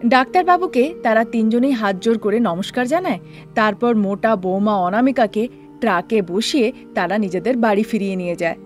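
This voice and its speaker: A woman's voice: fairly high pitch, a little fast, faint music behind. Woman narrating a cartoon story.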